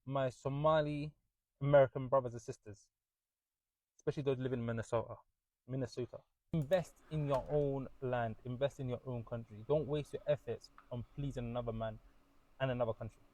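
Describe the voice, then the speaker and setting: A man's voice: medium pitch, fairly quick, a little mellow, no room sound, poor audio. A man from London with a London British accent, giving an earnest, opinionated monologue with emphatic repetition.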